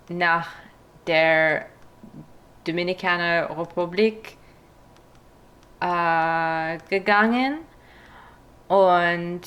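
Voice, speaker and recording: A woman with a foreign accent chatting casually in a language that is not her own, pausing to search for words. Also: lowish voice, fairly bright tone. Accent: non-native, foreign-accented German. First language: not German; speaks it as a foreign language. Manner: halting, pauses to search for words. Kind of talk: casual language-practice chat.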